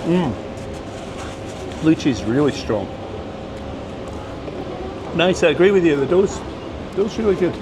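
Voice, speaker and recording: A man's voice: fairly high pitch, sing-song, measured pace, long pauses. A man hosting a restaurant review vlog, chatting casually and teasing his friends at the table. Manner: teasing, joking banter. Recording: loud street noise behind.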